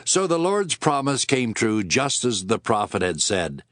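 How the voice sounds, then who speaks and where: A man's voice: mid-range voice, big pitch swings, unhurried, a bit bright, dry recording, studio quality. A man giving scripted dramatic narration of the Bible.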